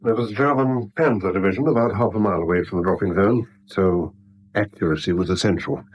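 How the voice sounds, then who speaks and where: An actor playing a principled, haunted war veteran in a British radio drama, grave, clipped and stubborn, with a British accent. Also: male voice, low voice, fast, slightly rough, muffled recording. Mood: grim recounting. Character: principled, haunted male war veteran turned bank employee. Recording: vintage radio recording with faint hiss.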